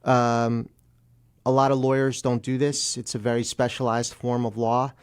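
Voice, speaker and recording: A man speaking as a guest attorney in a radio interview, explaining plainly in halting phrases. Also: mid-range voice, little pitch movement, smooth, no echo, studio quality.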